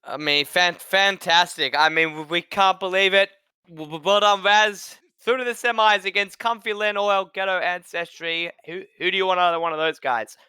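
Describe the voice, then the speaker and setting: A man's voice: high-pitched, moderate pace, pushed and bright, clean tone, no echo. A man hosting an online league event casually, stammering through restarts and fillers.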